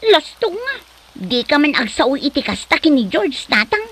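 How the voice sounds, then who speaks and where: A woman's voice: high-pitched, big pitch swings, normal speed, a bit bright, full character voice. A woman playing a boastful young daughter in an Ilocano comedy drama, protesting and whining with an Ilocano accent. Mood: defensive. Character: boastful, ambitious young daughter defending her boyfriend.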